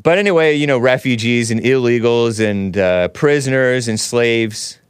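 A man's voice: mid-range voice, normal speed, clean tone, dry recording, studio quality. Nasal man hosting a rambling talk show. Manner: rambling, laughs often, chats with the live chat. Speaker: show host. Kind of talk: casual opinionated livestream talk.

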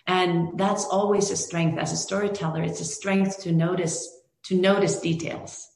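A woman's voice: fairly low pitch, steady tone, normal speed, darkish tone. A Norwegian woman, a film director, answering interview questions thoughtfully with many restarts and fillers. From Norway. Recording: echoey room.

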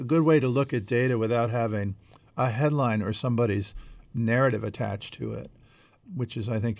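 A man co-hosting a conversational podcast, explaining things in a measured way as he thinks aloud. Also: medium pitch, vocal fry, darkish tone, no echo. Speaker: podcast co-host.

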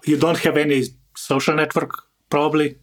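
A man's voice: a little high, little pitch movement, normal speed. A man hosting an interview podcast in a conversational way, often padding his sentences with filler phrases. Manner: frequently interjects "let's say". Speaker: podcast host.

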